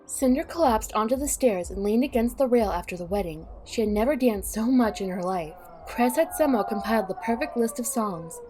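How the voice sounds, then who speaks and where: A woman's voice: on the high side, lively intonation, brisk, few pauses, a little mellow, rough recording. Woman narrating a story over faint music.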